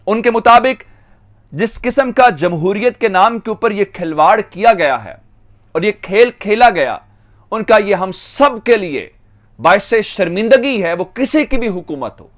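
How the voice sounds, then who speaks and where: A man with a Pakistani accent hosts an online political news monologue. His voice sits clearly high for a man. He speaks emphatically, stretching the phrases he wants to stress. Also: animated, a little slow. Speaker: news commentator and channel host.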